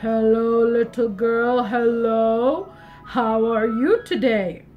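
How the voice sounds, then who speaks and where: A woman voicing a sly, greedy big bad wolf in a storybook tale, lowering and stretching her voice. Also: slow, lo-fi sound, faint music behind.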